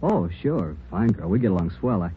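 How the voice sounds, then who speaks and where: A polite, puzzled American boyfriend in a 1940s radio drama. He speaks low and quickly, earnest and a little hesitant. The sound is muffled with faint noise. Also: sing-song. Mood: earnest, worried. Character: polite, puzzled, concerned young boyfriend, dental lab worker.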